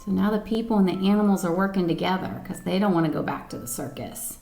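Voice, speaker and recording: A woman reading a picture book aloud to children as a storyteller, with an expressive, dramatic manner. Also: fairly low pitch, fast, gravelly, soft background music. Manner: expressive, dramatic reading with questions to young listeners. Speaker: volunteer storyteller.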